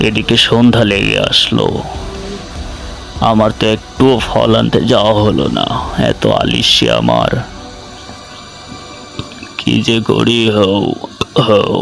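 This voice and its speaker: A man's voice: fairly low pitch, measured pace, vocal fry, long pauses, fairly bright tone, faint music behind, exaggerated voice, low-quality recording. A man voicing a lazy, gluttonous trickster in a cartoon, musing idly to himself. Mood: lazy scheming. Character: lazy, gluttonous male villager, idle trickster. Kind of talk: acted cartoon monologue.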